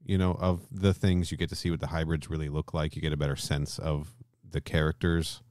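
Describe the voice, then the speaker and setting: A man with an extremely low, deep voice talks casually as a podcast host. He thinks out loud, hedging and rewording as he goes. The sound is dry and close, with no room echo. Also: level tone, brisk. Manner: hedging, thinking aloud, circles back to rephrase. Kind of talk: casual discussion between co-hosts.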